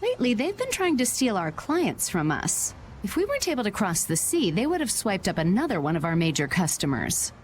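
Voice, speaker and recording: Composed, expressive merchant leader. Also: female voice, mid-range voice, a little fast, soft background music. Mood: businesslike concern. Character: composed, businesslike adult woman merchant leader. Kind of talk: English video game dub acting.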